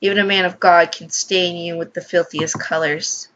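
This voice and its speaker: A woman's voice: on the low side, fairly even intonation, moderate pace, background noise, close to an everyday voice. A woman voicing a stern, controlling mother who scolds and commands, in a visual novel read-aloud. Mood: stern, scolding.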